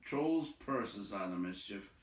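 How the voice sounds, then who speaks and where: A man narrates a storybook at an unhurried pace with lively swings of pitch. His voice is bright, and the room adds a clear echo. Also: recorded from a distance, slight background noise. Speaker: storybook reader. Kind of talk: expressive read-aloud narration.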